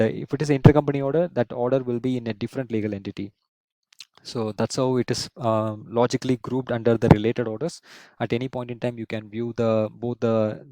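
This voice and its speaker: A man giving an unscripted software tutorial as an instructor, explaining step by step and often repeating and rephrasing himself. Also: medium pitch, dry recording.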